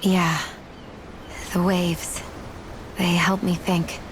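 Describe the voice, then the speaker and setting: A woman's voice: lowish voice, level tone, extremely slow, background noise. An actress playing a reserved, pensive young woman in a fantasy video game, speaking reflectively. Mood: pensive, guarded. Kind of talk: video game cutscene dubbing.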